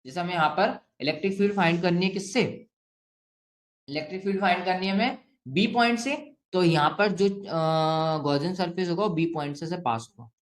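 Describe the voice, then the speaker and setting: A man with an Indian accent teaching step by step as a physics teacher, asking and answering his own questions and slowly dictating what to write. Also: high voice. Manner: asks and answers own questions, frequent check-ins; slowly dictates written sentences. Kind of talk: step-by-step classroom lesson mixing Hindi and English. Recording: echoey room.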